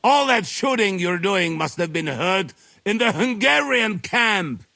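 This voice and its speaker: A man's voice: high voice, big pitch swings, unhurried, creaky, bright, forceful tone, heavily acted. An actor playing a haughty, sarcastic nobleman under siege in a video game, pompous and mocking in his formal speech. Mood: defiant, mocking. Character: haughty besieged nobleman, sarcastic royal chamberlain. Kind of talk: dramatic video game acting.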